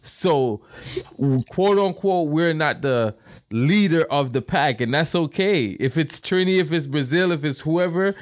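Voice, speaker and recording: A man's voice: fairly high pitch, lively intonation, measured pace. A man co-hosting a casual podcast chat, arguing his points and hesitating with many fillers. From Jamaica. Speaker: podcast co-host.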